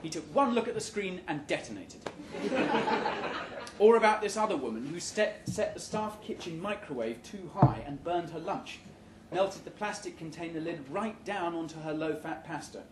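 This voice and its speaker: A man giving a live, measured, deadpan reading of satirical fiction at an indoor venue. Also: fairly high pitch. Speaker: short story reader. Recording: roomy, noisy live venue recording.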